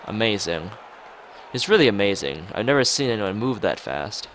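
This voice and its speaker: A man's voice: on the low side, sing-song, normal speed, a bit bright, a little hiss or hum. A man voicing a polite, curious, easily impressed young protagonist in a visual novel read-aloud. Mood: amazed. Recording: music behind the voice.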